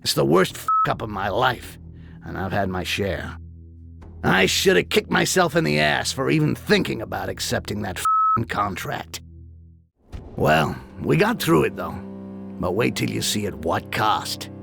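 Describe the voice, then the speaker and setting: A man voicing a cynical, foul-mouthed goblin mercenary in a video game, gravelly and sardonic. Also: lowish voice, sing-song, a little slow, over loud music, heavily acted. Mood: grim, sardonic. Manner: sardonic, streetwise, crude. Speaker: character narrator.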